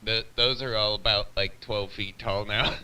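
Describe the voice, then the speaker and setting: A man's voice: on the low side, fairly even intonation, normal speed. A man chatting casually over CB radio in a plain-spoken, slightly grumbling way, with a distant, hissy sound. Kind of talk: casual chat. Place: on a CB radio live feed.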